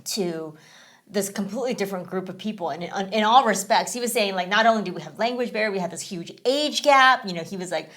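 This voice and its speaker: Woman, podcast co-host, casual chat, rambling, lots of fillers, mid pitch. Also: quick pace, a bit bright. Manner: chatty, rambling, many fillers like "you know".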